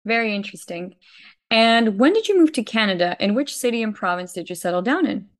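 A woman's voice: mid-range voice, sing-song, a little fast. A woman hosting an interview podcast, asking questions in a friendly, upbeat way. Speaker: podcast host.

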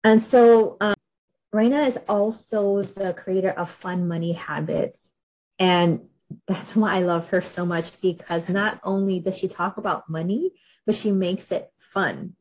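A woman's voice: medium pitch, fairly even intonation, unhurried, a little mellow. Bubbly podcast host woman, muffled audio. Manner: bubbly, chatty, many fillers. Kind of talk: podcast interview. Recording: dull lo-fi audio.

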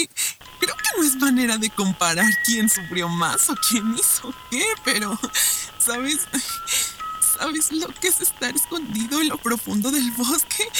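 An actress playing a haunted war survivor and grieving mother, speaking in a halting, emotional way in a Spanish-language radio drama. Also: high voice, natural voice, poor audio.

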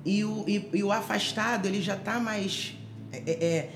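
A woman's voice: medium pitch, normal speed. A woman from Rio de Janeiro, speaking, giving her personal testimony as a podcast guest with many fillers and restarts. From Rio de Janeiro, Brazil. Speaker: interview guest. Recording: echoey room with music behind.

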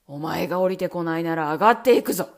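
A woman's voice: deep voice, lively intonation, a little slow, gravelly, pitched below the performer's own voice, exaggerated voice. A woman voicing a cruel, menacing husband who bellows threats and sneers. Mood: bellowing.

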